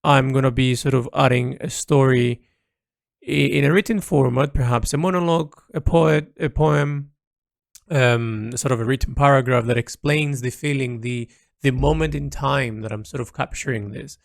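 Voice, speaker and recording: A man talking casually and reflectively in a personal audio log, halting as he searches for words and repeats himself. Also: medium pitch, steady tone, creaky, dry recording, clean studio sound. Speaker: creator recording an audio log.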